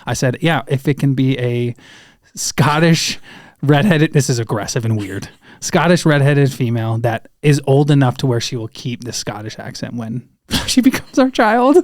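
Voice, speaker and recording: A man's voice: mid-range voice, expressive, moderate pace, no room sound. Casual man in dry, close sound. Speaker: podcast co-host. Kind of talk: casual banter on a church podcast.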